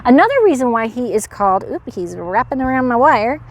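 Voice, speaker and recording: A woman presenting as a park educator to children, with an animated manner, explaining and putting questions to young viewers. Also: a little high, a little fast. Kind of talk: animated educational read-aloud and animal demonstration.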